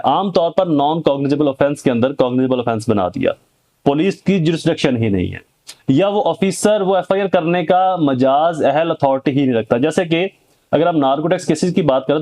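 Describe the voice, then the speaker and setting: A man with a Pakistani accent and a somewhat high voice speaks as an advocate explaining law to viewers. His delivery is informal but emphatic, with rhetorical questions and English legal terms mixed into his speech. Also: dark tone. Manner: emphatic, poses rhetorical questions and answers them.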